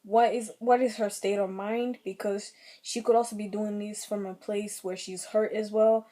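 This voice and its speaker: A young woman with a slightly raspy throat, giving earnest, rambling commentary on her own vlog channel. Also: mid-range voice, flat delivery, moderate pace, dark tone. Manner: earnest, rambling, many fillers. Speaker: vlogger.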